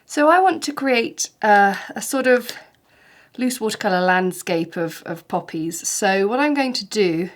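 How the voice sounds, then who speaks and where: Woman, art teacher, slightly snuffly from hay fever, gentle chatty demo delivery. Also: medium pitch, animated, measured pace. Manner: gentle, chatty, encouraging demonstration. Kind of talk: painting tutorial.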